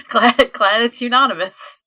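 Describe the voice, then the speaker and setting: A woman co-hosting a podcast in casual chat, talking in an animated, informal way full of "like". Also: a little high. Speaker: podcast co-host.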